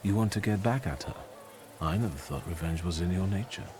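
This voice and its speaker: A man's voice: sits low, expressive, a little fast, background noise. An actor playing a brooding, self-assured male occultist in a period video game, stern and commanding. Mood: resolute.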